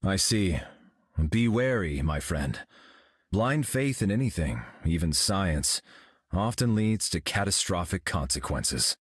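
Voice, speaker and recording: An actor voicing a serene, solemn golden cosmic hero for a video game, speaking in a calm, measured, grave way. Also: male voice, low voice, breathy edge, no echo, clean studio sound, heavily acted.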